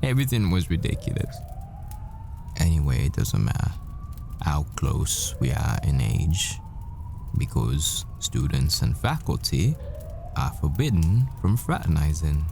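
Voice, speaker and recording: A man's voice: very deep voice, sing-song, measured pace, vocal fry, darkish tone, slight background noise, exaggerated voice. A man playing a secret hitman who poses as a professor, a smitten and haunted boyfriend in an intimate audio roleplay monologue. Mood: fond, teasing.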